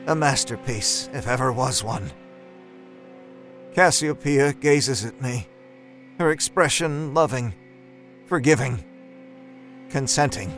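A man narrates horror in a slow, deliberate and theatrical way. His mid-pitched voice tells a first-person story as a dramatic reading, with a light musical score running underneath. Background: soft musical score.

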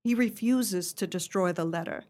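A woman's voice: on the low side, normal speed, darkish tone, lightly acted. A woman playing a wronged countess in a radio play, speaking with formal, earnest dignity. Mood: proud, resolute.

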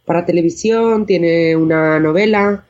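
A woman's voice: fairly low pitch, a little slow, a little mellow. A woman from chatting casually as an interview guest. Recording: dull, band-limited sound.

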